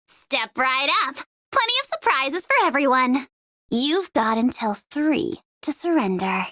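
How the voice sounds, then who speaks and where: High-pitched, gleefully mocking mercenary. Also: female voice, bright, forceful tone, no room sound, studio quality, heavily acted. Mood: teasing, breezy. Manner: mocking, playful, sardonic, theatrical. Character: gleeful, taunting young female mercenary bomber. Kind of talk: video game character voice lines.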